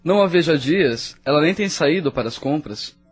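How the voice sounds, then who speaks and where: A man acts as a prying, disapproving neighbour in an audio novel. His voice is husky, fairly high and lively, with a Brazilian accent. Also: a little fast, faint music behind. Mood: prying, teasing. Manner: prying, chiding. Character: nosy, disapproving male neighbour.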